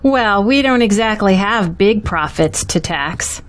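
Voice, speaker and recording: A gentle churchgoing woman, played by an actress, speaking slowly at a medium pitch. She sounds wistful yet hopeful, in audio drama style. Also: animated, natural voice. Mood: concerned, hopeful.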